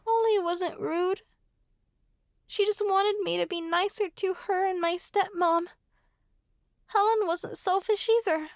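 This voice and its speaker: A woman acting a frightened, grieving but defiant young girl in a horror audio drama, tearful, pleading and halting. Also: extremely high pitch, steady tone, no room sound, clean studio sound.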